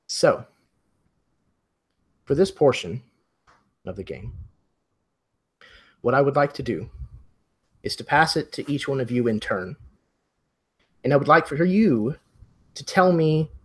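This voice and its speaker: A man acting as game master, improvising narration and giving players instructions in measured short phrases with pauses. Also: medium pitch, husky.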